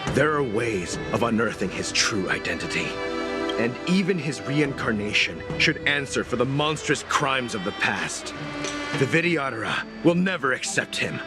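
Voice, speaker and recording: An actor playing a hostile, threatening enforcer in a game. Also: male voice, mid-range voice, lively intonation, moderate pace, close to an everyday voice. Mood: contemptuous. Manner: contemptuous, threatening. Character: hostile man, zealous sect enforcer. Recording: game audio with very loud music.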